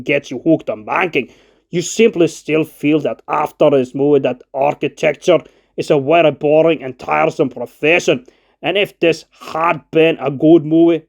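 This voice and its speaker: Gravelly male film critic, fairly high voice, scripted narration, opinionated, argumentative, formal wording. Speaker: film reviewer. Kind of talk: scripted video-essay narration.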